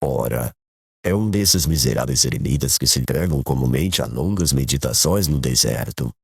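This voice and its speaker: An actor playing a calm, tolerant, dignified household guardian in a dramatized audiobook, speaking in measured formal phrases. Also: male voice, rumbling low, gravelly, breathy edge, no echo, studio quality, heavily acted. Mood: calm, conciliatory.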